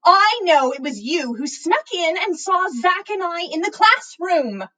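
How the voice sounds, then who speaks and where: A woman voicing a furious, indignant student in a story reading, yelling with drawn-out, emphatic words. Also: very high-pitched, very animated, pitched above the performer's own voice, heavily acted.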